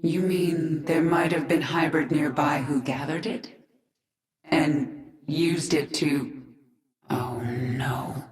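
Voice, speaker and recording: A woman's voice: low-pitched, steady tone, takes it slowly, a little mellow. A woman playing a grim, vengeful queen of an alien swarm in a video game cutscene, terse and intense. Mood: brooding, uneasy. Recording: roomy echo with game music behind.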